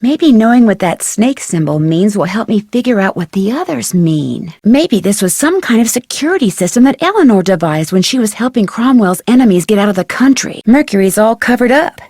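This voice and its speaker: A woman voicing a curious, plucky young female amateur detective in a video game, thinking aloud in an inquisitive, expressive way. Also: medium pitch, brisk, hardly any pauses, dry recording, studio quality. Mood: musing, curious. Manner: inquisitive, musing to herself, crisply expressive.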